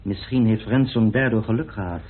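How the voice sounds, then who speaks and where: A man's voice: on the low side, a little fast, slightly rough. An actor, arguing his case in a dry, assertive way. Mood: assertive, explaining. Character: self-assured man coolly arguing his theory of a murder. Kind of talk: radio play acting. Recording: muffled phone-like old radio recording.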